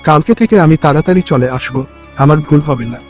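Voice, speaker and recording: A man's voice: fairly high pitch, fast, a little mellow, soft background music, lightly acted. A man playing an earnest, respectful, poor young day labourer in a cartoon story. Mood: tired, apologetic. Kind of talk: cartoon dialogue.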